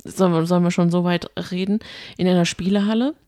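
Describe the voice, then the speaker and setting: A woman co-hosting a podcast in casual chat, responsive and quick to laugh. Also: on the low side, fairly even intonation, mellow, no room sound, studio quality. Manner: chatty, responsive listener who laughs easily. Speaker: podcast co-host.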